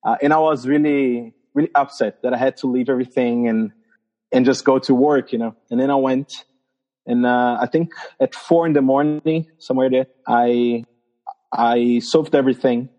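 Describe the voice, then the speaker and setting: Brazilian guy chatting on a podcast, mellow tone, kinda lo-fi, stops and restarts a lot. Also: mid-range voice, fairly even intonation. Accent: Brazilian Portuguese. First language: Portuguese. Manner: halting, laughs often, restarts phrases. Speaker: missionary guest. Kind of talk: casual podcast interview.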